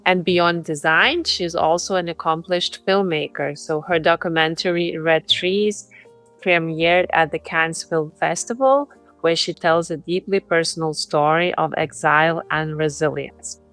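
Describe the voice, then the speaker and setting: A woman's voice: low voice, steady tone, measured pace, fairly bright tone, soft background music. A woman hosting a podcast, eagerly introducing her guest and asking long, winding questions. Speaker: podcast host. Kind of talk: interview hosting.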